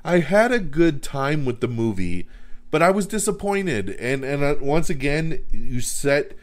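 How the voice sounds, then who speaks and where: A man's voice: on the high side, big pitch swings, a little slow. A man hosting a podcast, giving a casual, frank and opinionated movie review that rambles with restarts.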